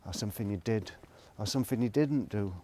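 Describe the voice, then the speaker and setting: A man's voice: lowish voice, fast, warm tone, slight background noise. A middle-aged man, rambling reflectively in a casual solo monologue, hesitant and thinking aloud as he goes. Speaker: solo video blogger.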